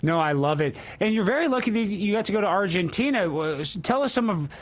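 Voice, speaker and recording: A man's voice: sits high, lively intonation, fast. A man hosting a talk show with brash, joking, opinionated banter in a casual style.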